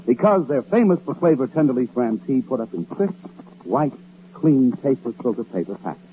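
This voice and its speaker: Male commercial announcer, medium pitch, emphatic and very varied, on a thin old radio recording. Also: expressive, brisk, narrow, tinny audio. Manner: emphatic, polished salesmanship. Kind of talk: 1940s radio advertisement. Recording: thin, noisy, humming vintage radio recording.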